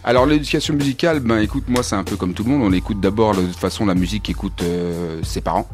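A man's voice: on the low side, fast, faint music behind. A man speaking as a studio guest in a casual interview, informal and slangy in an easygoing way. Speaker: guest, rapper. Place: radio studio. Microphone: studio microphone with headphones.

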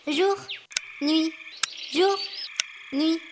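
A woman's voice: extremely high pitch, very slow, heavily acted. A woman acting a playful character in a comic audio clip, showing off a trick over noisy birdsong. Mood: playful, excited.

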